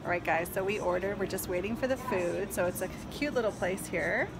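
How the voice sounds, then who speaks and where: A woman's voice: mid-range voice, brisk, vocal fry, music in the background, low-quality recording. A woman in her thirties talking to a phone camera at arm's length, reviewing a restaurant in a chatty, enthusiastic way. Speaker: food vlogger. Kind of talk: casual restaurant review vlog. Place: indoors in a restaurant, later outdoors by a parking lot. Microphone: phone camera held at arm's length.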